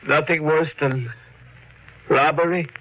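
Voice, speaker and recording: Anxious, high-voiced 1940s radio husband. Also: male voice, fairly even intonation. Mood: uneasy, evasive. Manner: brooding, halting, fretful. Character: anxious, guilt-haunted shopkeeper husband, meek simple man. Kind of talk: 1940s radio mystery acting, first-person narration and dialogue. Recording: muffled vintage radio recording with loud music.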